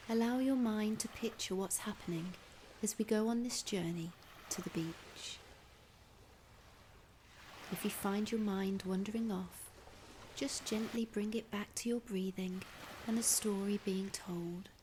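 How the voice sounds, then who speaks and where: A woman narrating a scripted guided imagery meditation in a soft, soothing, breathy voice, gently instructing with long pauses. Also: medium pitch. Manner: unhurried, with long pauses between phrases. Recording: clear background ambience noise.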